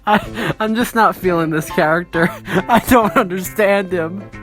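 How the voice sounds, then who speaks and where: A woman's voice: medium pitch, a little slow, soft background music. A woman casually reading out a script and commenting on it as host.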